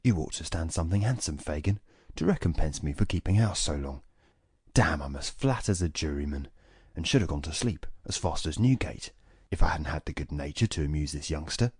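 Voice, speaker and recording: A man's voice: a bass voice, lively intonation, fairly quick, darkish tone, breathy edge, dry recording, studio quality, heavily acted. A man voicing a swaggering, haughty crook who boasts and complains of boredom. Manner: boastful, lazy drawl. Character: swaggering, haughty male criminal, grown man.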